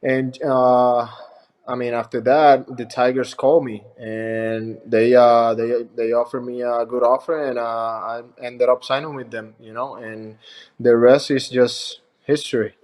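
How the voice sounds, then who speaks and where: A young man from Rico, answering interview questions as a baseball pitcher in a halting, friendly way. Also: little pitch movement, a little mellow. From Puerto Rico. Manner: halting, many fillers and restarts, friendly.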